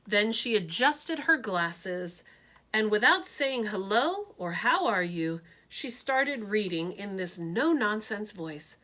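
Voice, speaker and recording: A woman reads a children's picture book aloud, slow and clear, with a bright tone. Her voice rises and falls expressively as she tells the story. It sounds like a teacher reading to her class. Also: mid-range voice, sing-song.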